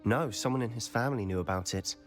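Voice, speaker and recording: This is a teenage boy wizard in a video game, acted by a man, confident and a little mischievous. He talks in a measured, confiding way, as if guarding secrets. Soft music sits behind the voice. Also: mid-range voice, natural voice. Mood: confiding, earnest. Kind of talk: video game dialogue acting.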